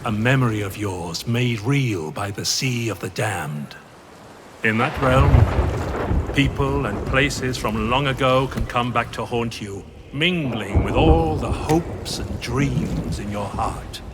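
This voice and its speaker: A man playing a solemn, mysterious pirate guide who tells a tale gravely in a video game cutscene. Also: mid-range voice, heavy background noise, lightly acted. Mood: grave, foreboding. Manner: measured, grave, portentous. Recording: storm and sea ambience behind.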